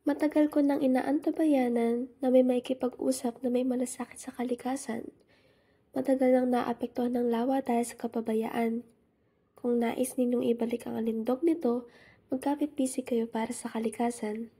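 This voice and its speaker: Solemn, mellow-voiced fairy guardian played by a woman. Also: fairly high pitch, flat delivery, moderate pace, breathy edge. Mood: stern, solemn. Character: solemn female nature fairy guarding a lake. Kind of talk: amateur radio drama acting.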